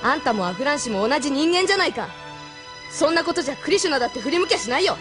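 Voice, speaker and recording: An actress playing a tough, frank young female mech pilot and former delinquent with rough, blunt speech in an audio drama. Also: high-pitched, sing-song, rapid-fire. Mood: challenging. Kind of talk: Japanese audio drama acting. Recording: music behind the voice.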